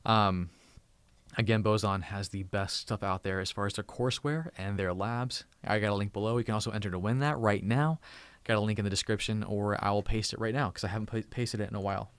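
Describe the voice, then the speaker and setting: A man's voice: lowish voice, fairly even intonation, a little fast, clear, resonant tone, dry recording. Upbeat man chatting on a live stream. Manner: upbeat, thankful, restarts and repeats words often. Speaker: tech channel host. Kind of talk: casual live stream chat. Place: home room.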